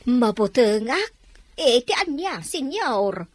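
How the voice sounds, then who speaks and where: An actress with an Ilocano accent playing a deferential house servant who is anxious to please, in a drama. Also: female voice, high-pitched, sing-song, takes it slowly, dry recording, full character voice. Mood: nervous, defensive.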